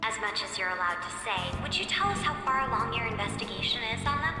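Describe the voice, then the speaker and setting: A woman's voice: medium pitch, big pitch swings, fast, close to an everyday voice. A woman playing an earnest, incisive young student interviewer in a game, formal, probing and insistent. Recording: echoey hall reverb with music behind.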